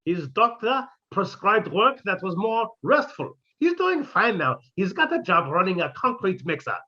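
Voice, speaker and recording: Man doing a husky, sardonic old professor. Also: high voice, sing-song, normal speed, bright, forceful tone, exaggerated voice. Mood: droll. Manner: droll, sardonic wisecracks. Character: sardonic, scheming older male professor. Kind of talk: 1950s radio sitcom acting. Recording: dull lo-fi sound.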